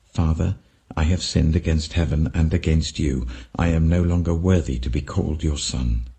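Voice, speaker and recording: Older man voicing a low, humbled prodigal son. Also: normal speed, mellow. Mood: contrite. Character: penitent, starving young prodigal son.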